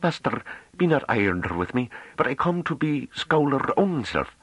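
Man doing a bashful young farm woman, high and broken. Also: very animated, moderate pace, a bit bright, husky, no echo, rough recording. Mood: fierce. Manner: broken dialect speech, earnest and pleading.

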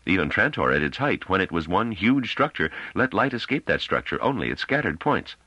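A man's voice: deep voice, very animated, a little fast, sharp tone, slightly rough, no room sound, voice pushed up, full character voice, low-quality recording. Man acting a confident, worldly space pilot. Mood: amused, explaining. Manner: assured, explanatory.

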